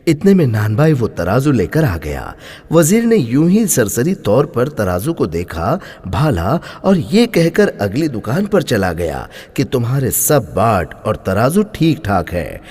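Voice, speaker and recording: A man narrating a scripted moral story in a dramatic, expressive way. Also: medium pitch, unhurried, breathy edge. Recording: long hall-like reverb.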